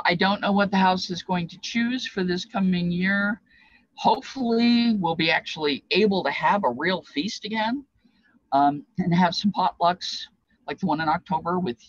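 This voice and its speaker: A woman's voice: lowish voice, no echo. Older woman, rambling talk, dull dry recording. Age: older, past fifties. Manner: rambling, discursive, reflective. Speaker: presenter explaining feast dishes. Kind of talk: informal explanatory talk with reminiscence. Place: small cluttered craft room, indoors. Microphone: webcam at desk distance.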